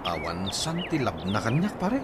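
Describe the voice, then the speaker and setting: A man's voice: lowish voice, sing-song, normal speed, noisy background. A man playing a weary, timid, complaining husband in an Ilocano comedy radio drama, with plaintive exclamations. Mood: grumbling.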